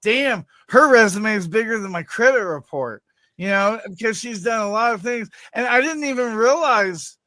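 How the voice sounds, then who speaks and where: Male show host, high bright voice, loose stammery delivery, typing heard behind. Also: animated, moderate pace, no room sound. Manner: stammering, loose, swears casually. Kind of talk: casual podcast chat.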